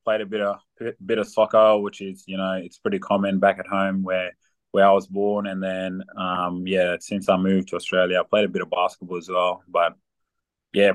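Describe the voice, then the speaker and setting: Deep-voiced young man, laid-back. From South Sudan. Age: young adult. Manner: relaxed, many ums and fillers. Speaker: guest athlete. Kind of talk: casual interview chat.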